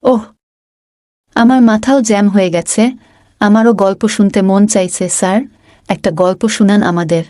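A woman's voice: medium pitch, steady tone, measured pace, pauses often, warm tone, no echo, studio quality, lightly acted. A woman voicing a keen, polite young school pupil, speaking eagerly in a children's cartoon.

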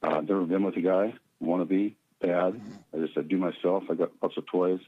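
Low-voiced man on a tinny phone line. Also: steady tone, brisk. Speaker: prank caller. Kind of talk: prank phone calls to live shows. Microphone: telephone.

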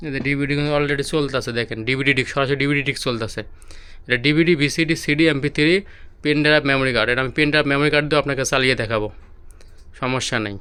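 Fast-talking Bangladeshi man, clear voice. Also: mid-range voice, fairly even intonation, sharp tone. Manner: repetitive, showing things to viewers. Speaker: electronics seller demonstrating products. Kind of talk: casual product demonstration.

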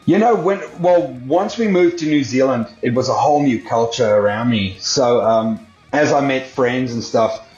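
A man's voice: medium pitch, normal speed, faint music behind. A man from South Africa talks easily about his life as a drummer, loose and full of fillers. His voice comes through a video call with a roomy echo and a dull, muffled sound. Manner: relaxed, rambling, many fillers. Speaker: guest drummer. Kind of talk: casual interview chat.

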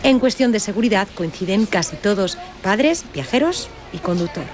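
A Spanish woman in her thirties reporting from a city bus with a handheld mic, asking lively questions. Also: medium pitch, moderate pace, a crowd in the background. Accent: Spanish from Spain. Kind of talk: on-the-street news report with voice-over. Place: city bus and bus stop, outdoors.

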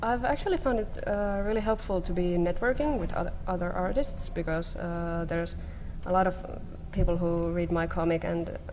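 A woman's voice: on the low side, normal speed, hardly any pauses, a little mellow. A young woman talking casually as an artist on a panel, into a table microphone on a stage. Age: young adult. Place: convention panel stage before an audience. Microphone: table microphone on stand. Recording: noisy live stage microphone.

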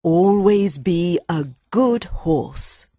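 A man voicing an old, wise mother mare who gently teaches her colt, speaking slowly in a lifted voice with a slightly breathy tone. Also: sits high, animated, no echo, clean studio sound, pitched above the performer's own voice, exaggerated voice. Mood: gentle advice. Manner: gentle, slow, instructive.

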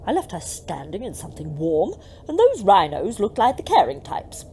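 A woman's voice: mid-range voice, wildly swooping pitch, moderate pace, dark tone, slight background noise, poor audio. A woman playing a gleeful, bossy, scheming devil in a comic audio drama, theatrical and gloating. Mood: smug.

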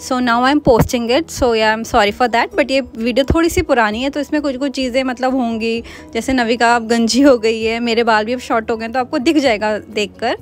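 A woman's voice: on the high side, moderate pace, hardly any pauses, soft background music. A young woman from Uttarakhand, India, chatting casually as she narrates her family vlog. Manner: chatty, mixes Hindi and English. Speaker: vlogger.

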